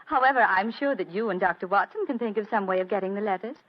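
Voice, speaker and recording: A woman's voice: medium pitch, quick pace, natural voice. An actress playing a forthright, coaxing, well-bred young British lady client in a radio drama, earnest, polite and self-assured. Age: young adult. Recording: dull lo-fi radio drama recording.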